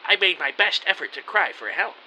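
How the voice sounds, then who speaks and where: A high-pitched, very bright male narrator, acted by a man telling his story as an anxious, hapless everyman. The delivery is lively, earnest and scripted, over a faint hiss. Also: a little fast, heavily acted, low-quality recording. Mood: tense narration. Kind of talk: dramatic first-person scripted narration.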